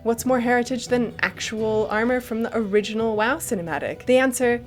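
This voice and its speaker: A woman's voice: on the high side, brisk, soft background music. A woman co-hosting a gaming news show, wry and playfully emphatic, moving between narration and casual banter. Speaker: show co-host.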